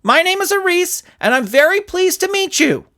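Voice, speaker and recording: Man putting on a high-pitched friendly little boy. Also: very animated, moderate pace, heavily acted. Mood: polite, friendly. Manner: bright, polite, enthusiastic. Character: small, friendly, eager young boy. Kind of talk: dramatised audiobook reading.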